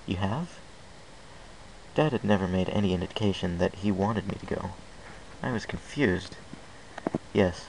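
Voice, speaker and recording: A man narrates a first-person story with an earnest, unpolished delivery. His voice is very deep and carries a gravelly fry. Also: brisk, background noise. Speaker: fan fiction reader.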